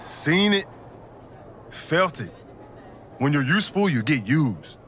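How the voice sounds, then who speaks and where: A man's voice: a little high, big pitch swings, takes it slowly, fairly bright tone, a little hiss or hum, exaggerated voice. A man playing a laid-back, street-smart, disillusioned pro wrestler in a video game cutscene, talking in slang. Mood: wistful, jaded.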